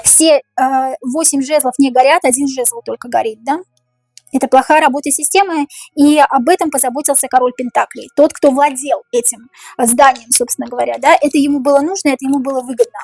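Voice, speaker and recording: High-voiced woman with a tiring voice. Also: steady tone, darkish tone, husky, no room sound. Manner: repeats each question slowly, muses over the cards. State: voice tiring, going hoarse. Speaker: tarot reader. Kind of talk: tarot reading to camera, thinking aloud.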